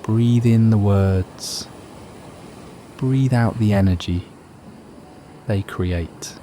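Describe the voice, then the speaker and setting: A man narrating a guided meditation in a soothing, unhurried, measured manner. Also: fairly low pitch, warm tone, a little hiss or hum.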